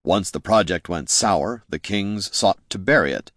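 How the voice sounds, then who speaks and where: A man voicing a necromancer's son in a fantasy audiobook, explaining things in a measured, bitter, knowing way. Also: sits low, expressive, a bit bright, no room sound, clean studio sound.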